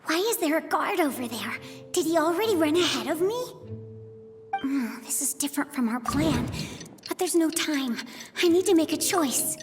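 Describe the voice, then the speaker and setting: A woman's voice: high voice, animated, moderate pace, faint music behind. A woman voicing a nervous but plucky young boy in a video game, hesitant and earnest. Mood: anxious. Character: nervous, plucky little boy acting as a decoy.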